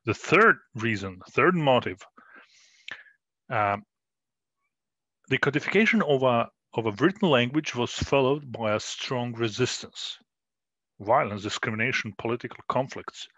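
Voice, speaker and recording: A middle-aged man presenting his research in a recorded conference talk, measured and full of fillers and restarts. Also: mid-range voice, animated, fairly bright tone, husky, no room sound, lo-fi sound. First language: Croatian. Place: small room indoors.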